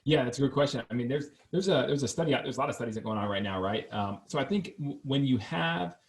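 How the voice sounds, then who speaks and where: A man talks into a podcast microphone in an office in a casual, energetic conversation. His voice sits in the middle range, and he jokes easily and tags his points with "right?". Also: medium pitch, fast talker. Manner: energetic, joking, fact-focused, says "right?" often. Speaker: real estate podcast host.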